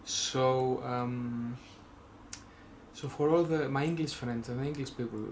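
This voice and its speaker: A man's voice: medium pitch, fairly even intonation, a little slow, slight background noise. A young man from Greece with a Greek accent, rambling casually in a vlog, full of fillers and self-restarts with wry jokes. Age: young adult. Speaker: vlogger.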